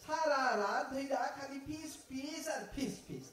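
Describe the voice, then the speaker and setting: A man's voice: extremely high pitch, unhurried, fairly bright tone. A man acting an aging, cheeky thief in an audio drama, with an East Bengali dialect and a folksy, mock-polite, talkative manner. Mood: complaining. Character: aging male thief, cheeky, philosophizing, self-styled honest. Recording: echoey room, far from the mic.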